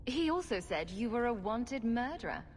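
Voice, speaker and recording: A woman's voice: on the high side, sing-song, moderate pace, darkish tone, big-room sound, a little hiss or hum. A woman voicing a self-assured, dryly sardonic heroine in a video game. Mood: wry, composed. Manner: dry, sardonic. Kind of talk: video game dialogue acting.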